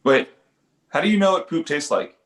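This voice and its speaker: A man playing an awkward young father and amateur comedian in an animated comedy table read, hesitant and stammering. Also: on the high side, moderate pace, close to an everyday voice. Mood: exasperated. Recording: roomy echo.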